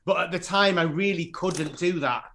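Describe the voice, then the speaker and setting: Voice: medium pitch, normal speed, fairly bright tone. Chatty opinionated podcast co-host. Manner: opinionated, chatty, frequent agreeing. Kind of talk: casual chat.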